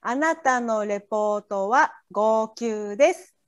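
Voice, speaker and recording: A woman's voice: fairly high pitch, normal speed, clean tone. A native Japanese woman with a Japanese accent, tutoring casually phrase by phrase in brief turns with small acknowledgements. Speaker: language tutor.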